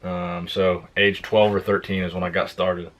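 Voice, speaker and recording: A young man on a fitness channel, casually answering viewer questions in a plain, relaxed way. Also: low-pitched, moderate pace. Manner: relaxed, plain-spoken, reads questions aloud then answers. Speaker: fitness YouTuber. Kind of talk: casual Q and A vlog.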